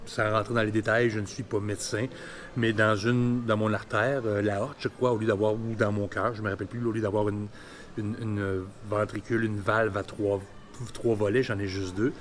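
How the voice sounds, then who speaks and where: Low-voiced Quebec man around fifty. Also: flat delivery, normal speed, slight background noise. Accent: Quebec French. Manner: candid, colloquial. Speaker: vlogger. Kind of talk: personal vlog, telling his story.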